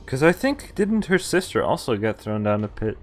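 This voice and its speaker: A man's voice: mid-range voice, sing-song, moderate pace. A man streaming a visual novel, reading the game text aloud and breaking off into casual asides and reactions. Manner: reacts with asides between read lines.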